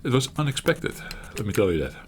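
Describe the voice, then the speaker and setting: A middle-aged man hosting his retro gaming channel, talking while he plays in a halting, reflective way, searching for words and laughing at himself. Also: mid-range voice, very animated, a little hiss or hum. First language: Dutch. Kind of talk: reflective gameplay commentary.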